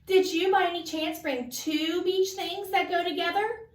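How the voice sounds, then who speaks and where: A woman's voice: very high-pitched, steady tone, a little slow, pitched above the performer's own voice. A woman voicing a dramatic, anxious schoolgirl in a storybook, emphatic and given to exclaiming. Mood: pleading. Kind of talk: storybook read-aloud character voice. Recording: echoey room, distant mic.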